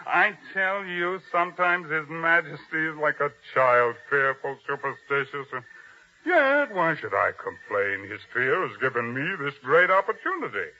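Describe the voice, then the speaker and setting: An actor playing a proud, ambitious army captain, boastful and self-important, in a 1950s radio drama style. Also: male voice, on the high side, sing-song, a little slow, bright, forceful tone, a little hiss or hum, heavily acted. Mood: boastful reminiscing. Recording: dull old radio broadcast recording.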